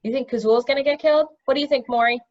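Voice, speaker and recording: A woman reading a children's fantasy novel aloud in an expressive storytelling style. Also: high-pitched, rapid-fire, dull audio. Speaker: storybook reader. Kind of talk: expressive read-aloud of a children's fantasy novel, pausing to question young listeners.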